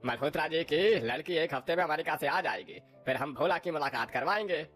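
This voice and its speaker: A woman voicing a grumpy older father-in-law in a cartoon who grumbles and hushes others. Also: low voice, fairly quick, faint music behind. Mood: grumbling.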